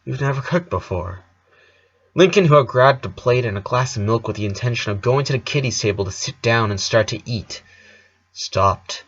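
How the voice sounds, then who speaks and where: Male story reader, mid-pitched voice, scripted narration, slightly dull lo-fi recording. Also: normal speed. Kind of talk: scripted narration of fan fiction.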